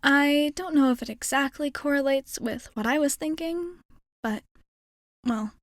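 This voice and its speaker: A woman's voice: sits high, measured pace, no echo, studio quality. A woman playing a shy, kind young alien girl in an intimate ASMR roleplay, speaking in a hesitant, earnest, rambling way. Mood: nervous, hopeful.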